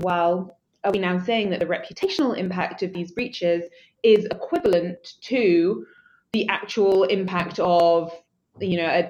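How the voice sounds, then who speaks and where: A woman's voice: fairly low pitch, darkish tone, muffled recording. A woman analyst explaining a security story on a podcast, articulate with a dry sense of humor, thinking aloud. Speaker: threat intelligence analyst. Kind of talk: conversational analysis.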